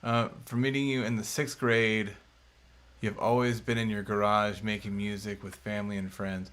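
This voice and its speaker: A man's voice: on the low side, flat delivery, normal speed, a bit bright. A man in his thirties, co-hosting a casual chat and reading viewer comments aloud in a laid-back, chatty way. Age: 30s. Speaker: co-host.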